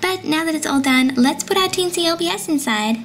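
A woman's voice: sits high, animated, a little fast, over music. A young woman hosting a toy channel, chatting cheerfully through a casual unboxing. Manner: bubbly, chatty, cutesy.

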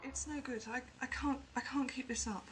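Woman as a weary veteran, high and strained. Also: fairly even intonation, moderate pace, a bit bright, far from the mic, soft background music. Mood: exhausted. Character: weary, struggling research base veteran. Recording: very noisy 1980s amateur tape.

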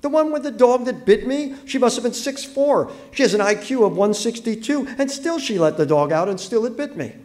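Man as a defensive, squirming husband, lively pitch. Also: a little fast, few pauses. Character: defensive, cowardly husband squirming through excuses. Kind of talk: acted audiobook dialogue.

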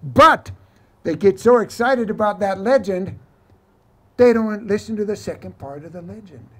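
A man voicing an older, needling camp cook with a deliberate, knowing manner in a Western tale. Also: high-pitched, expressive, fairly bright tone, pitched above the performer's own voice.

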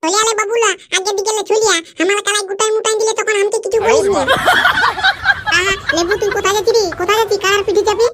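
A performer with a pitched-up chipmunk voice playing an excitable, cheeky village cartoon fellow with a Purulia accent, bantering bluntly in dialect. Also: heavy background noise. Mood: excited, complaining. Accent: Purulia, West Bengal. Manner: blunt, teasing, rapid back-and-forth.

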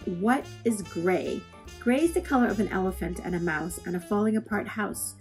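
A woman's voice: mid-range voice, moderate pace, a little mellow. Woman reading children's poetry over guitar music. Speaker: read-aloud host. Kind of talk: expressive poetry read-aloud for children. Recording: music playing under the voice. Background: plucked guitar music.

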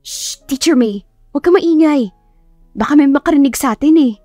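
Blunt, scolding young female teacher read by a woman, raised pitch, defensive romance heroine for audiobook. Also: normal speed, warm tone, no echo, clean studio sound, faint music behind, natural voice. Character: prickly, easily annoyed young woman teacher.

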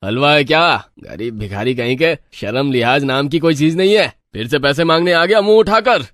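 A man voicing a cruel, greedy old village moneylender, bossy and scornful, in an animated story. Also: fairly high pitch, moderate pace, no room sound, studio quality. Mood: angry.

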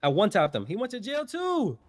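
A man's voice: sits high, huge pitch swings, fairly quick. A man in casual game voice chat, talking in heavy slang and boasting like a crew boss. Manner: slangy, boastful, says bro constantly. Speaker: fellow player, gang boss in the role-play.

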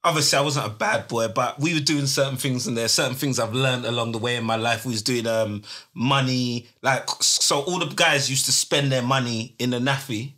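Guy on a studio podcast, bright tone, rambly, laughs a lot, studio mic. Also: medium pitch, fairly quick. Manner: rambling, laughs often, slips in fillers. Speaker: podcast guest. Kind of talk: casual storytelling chat. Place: small podcast studio, indoors. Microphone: studio mic on stand.

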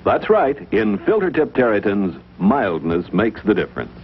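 A man's voice: on the low side, huge pitch swings, moderate pace, husky. A man narrating a scripted 1950s television advertisement. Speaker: commercial announcer. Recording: dull, faintly noisy 1950s commercial audio.

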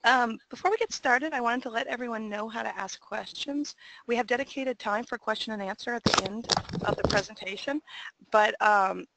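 A woman's voice: on the high side, moderate pace, vocal fry, fairly bright tone, a little hiss or hum, rough recording. A woman hosting a webinar, giving an organized introduction with practical instructions as coordinator. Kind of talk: semi-scripted webinar introduction.

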